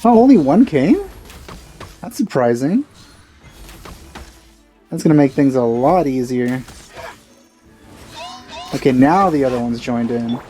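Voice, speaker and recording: Casual male let's play host, soft-edged mellow tone, game music playing clearly behind him. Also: medium pitch, lively intonation, slow, long pauses. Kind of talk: casual gameplay commentary.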